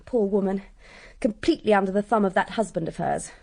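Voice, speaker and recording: A strong-willed, upper-class young Englishwoman in a radio drama. Her tone is dark and mellow at a medium pitch, her questions cool, candid and probing. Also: brisk, creaky. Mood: frank, challenging.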